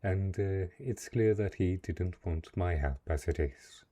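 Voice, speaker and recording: A man playing a lonely, gentle but possessive dark knight in an intimate fantasy audio roleplay, speaking softly and haltingly. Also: low-pitched. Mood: quietly possessive.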